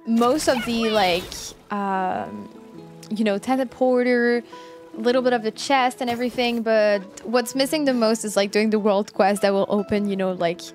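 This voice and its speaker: Highish-voiced woman streamer, playfully sarcastic. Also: darkish tone. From France. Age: 20s. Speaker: game streamer. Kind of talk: casual live gameplay chat. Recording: stream voice over game music.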